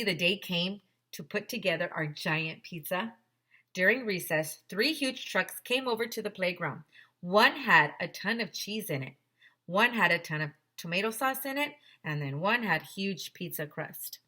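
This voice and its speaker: Bright-voiced woman reading a storybook. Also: medium pitch. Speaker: teacher reading aloud to pupils. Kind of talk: children's storybook read-aloud.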